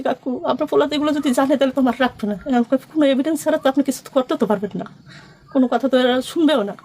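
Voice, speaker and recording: High-pitched, fast-talking young woman. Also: dark tone. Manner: rambling, pleading account quoting conversations. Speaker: complainant being interviewed. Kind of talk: spontaneous personal account.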